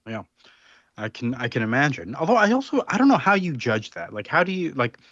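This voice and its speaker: A man's voice: medium pitch, very animated, brisk, a bit bright, no room sound. A man co-hosting a comics podcast, chatting casually and hesitantly with many fillers. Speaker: podcast co-host. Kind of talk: casual chat. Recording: dry, dull lo-fi sound.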